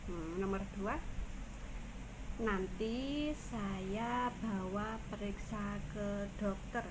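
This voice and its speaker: A woman from Indonesia with a Javanese Indonesian accent, a caregiver teaching phrases in a casual lesson, saying each one slowly twice. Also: medium pitch. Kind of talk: casual language lesson vlog. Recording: noisy ambience.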